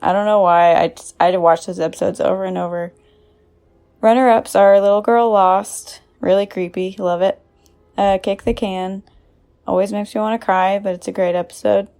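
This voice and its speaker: A woman's voice: medium pitch, steady tone, normal speed, a little mellow. A woman chatting casually as a listener sharing favorites. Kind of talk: casual chat.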